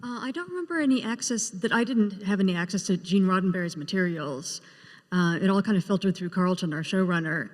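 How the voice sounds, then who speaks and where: A woman's voice: medium pitch, fairly quick. A woman who writes for television, recalling past work casually as a panel guest. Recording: echoey hall with long reverb.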